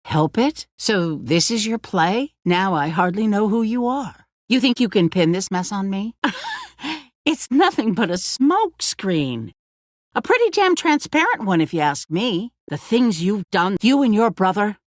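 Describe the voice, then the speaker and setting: An actress playing a poised, commanding woman who leads a survivor settlement in a video game, speaking in a measured, courteous but firm way. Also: medium pitch, very animated, dry recording, studio quality. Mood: indignant, accusing. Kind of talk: dramatic video game dialogue.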